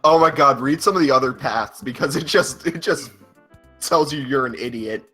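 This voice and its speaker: A man's voice: mid-range voice, moderate pace, sharp tone, breathy edge, soft background music. A man co-hosting a live stream, chiming in with casual banter among friends. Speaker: stream co-host.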